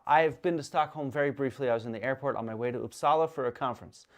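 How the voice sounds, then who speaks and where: A man's voice: mid-range voice, quick pace. Chatty man hosting a video call. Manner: chatty, laughs easily, stumbles and restarts. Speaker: host. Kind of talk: casual interview.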